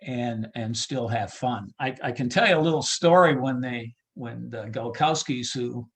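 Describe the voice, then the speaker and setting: A man with a medium-pitched voice speaks as an interview guest about his work as a ballpark announcer. His delivery is casual and halting, as he thinks out loud. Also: slightly rough. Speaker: baseball PA announcer being interviewed.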